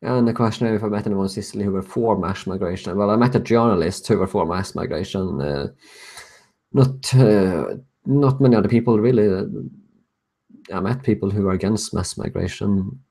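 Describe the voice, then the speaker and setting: A young man with a Swedish accent talks through a live-stream Q&A in a casual, chatty way, chuckling often. His voice sits a little low. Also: moderate pace, warm tone. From Sweden. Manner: casual, chuckling often, reading out viewer questions. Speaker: host.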